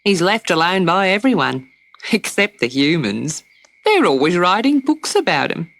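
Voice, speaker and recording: A woman voicing a gentle, protective mother kangaroo who speaks kindly and calmly. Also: fairly low pitch, measured pace, no room sound, voice pushed up, exaggerated voice. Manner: kindly, calm, hushed when afraid. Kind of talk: storybook character voice.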